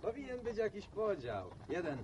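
A man's voice: high voice, big pitch swings, moderate pace, dull audio. Earnest townsman lecturing, noisy background. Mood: earnest, insistent. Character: earnest opinionated educated visitor from town with grand ideas. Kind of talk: radio drama acting.

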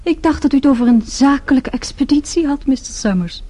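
A poised young female secretary in a radio drama. Her voice is mellow and slightly high, and she speaks with dry, measured wit and cool teasing. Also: lively intonation. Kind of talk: radio play acting.

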